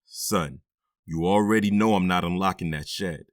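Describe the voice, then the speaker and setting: A man acting out a deep-voiced middle-aged father in an audio drama, firm and weary, given to heavy sighs. His low voice sits close and dry with no room around it. He scolds and comforts in the same steady way. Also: measured pace, dry recording. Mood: stern. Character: stern but loving middle-aged stepfather.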